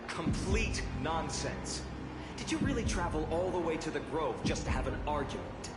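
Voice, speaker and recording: An actor playing a gruff, tired warrior lord who speaks bluntly and sternly. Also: male voice, a little high, animated, normal speed, close to an everyday voice. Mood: defiant. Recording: game audio, roomy, loud music.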